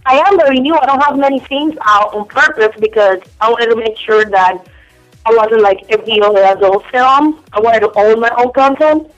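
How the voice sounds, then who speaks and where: Frank, giggly young woman, Spanish accent, calling in over a muffled phone line, very dry sound, soft music beneath. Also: a little high, normal speed, no echo. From Cuba. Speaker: phone-in guest. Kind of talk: casual radio interview. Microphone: telephone.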